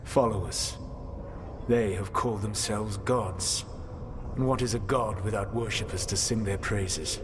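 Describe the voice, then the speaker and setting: An actor playing an ancient elven god in a video game, speaking in a grave, formal and measured way with a learned air. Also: male voice, lowish voice, background noise, natural voice. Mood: grave counsel. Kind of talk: dramatic video game dialogue.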